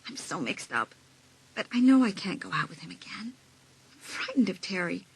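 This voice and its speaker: Breathy, anxious teenage girl. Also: fairly low pitch, big pitch swings, moderate pace, exaggerated voice, low-quality recording. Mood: worried. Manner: breathy, often dropping to urgent whispers. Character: anxious, frightened teenage girl keeping a secret. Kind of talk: dramatised audiobook acting with inner monologue.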